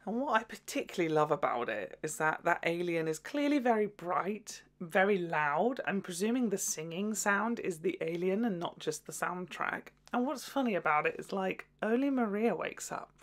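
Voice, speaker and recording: Chatty woman vlogger, lowish voice, gushes and jokes around, swears a bit, casual review. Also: animated, normal speed, few pauses. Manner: gushing, jokey, sweary asides. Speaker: video host.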